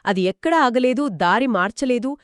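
A woman co-hosting scripted children's storytelling in dialogue, with an expressive delivery. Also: on the high side, moderate pace, no room sound, studio quality. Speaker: co-host storyteller.

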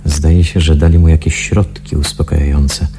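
An actor playing a restless, suspicious patient in a Polish science fiction radio drama, questioning and muttering to himself. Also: male voice, very deep voice, flat delivery, moderate pace, over music. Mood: uneasy, suspicious. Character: restless, suspicious male patient in a sci-fi sanatorium.

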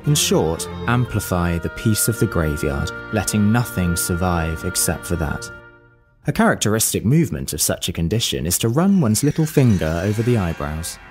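A low male voice delivers a brooding literary monologue as if lecturing himself. The delivery is theatrical and deliberate, with very lively intonation. Music plays behind the voice. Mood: grim, resigned. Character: brooding, self-lecturing narrator of a bleak monologue. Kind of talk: dramatic literary narration in an audio drama.

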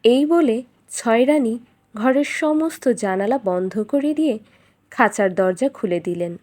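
A woman storyteller reading a scripted fairytale in an expressive, dramatic way. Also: on the high side, unhurried.

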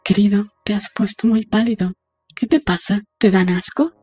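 A woman playing a brisk, practical young woman in an audiobook drama, talking in a matter-of-fact, conversational way. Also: mid-range voice, steady tone, darkish tone, husky, dry recording, faint music behind, close to an everyday voice. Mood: reassuring. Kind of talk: audiobook dialogue acting.

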